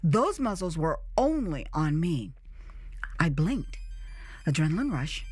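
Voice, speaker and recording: An American woman narrating a first-person audio drama in a theatrical, expressive way with dramatic pauses. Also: low voice, husky. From United States.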